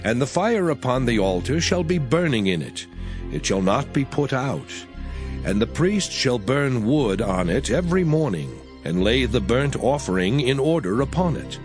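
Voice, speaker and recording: Expressive male scripture reader over music. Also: medium pitch, moderate pace. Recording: voice over clear background music.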